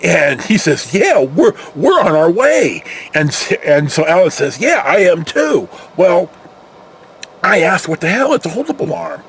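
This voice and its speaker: Animated American man spinning rambling stories. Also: high-pitched, unhurried, a little hiss or hum. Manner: animated, rambling, acts out dialogue with salty language. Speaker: storyteller, former security patrol officer. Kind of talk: casual anecdotal storytelling.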